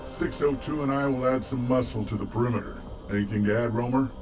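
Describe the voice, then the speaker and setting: An actor playing a brisk male resistance fighter in a video game cutscene. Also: medium pitch, over music, natural voice. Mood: teasing, brisk.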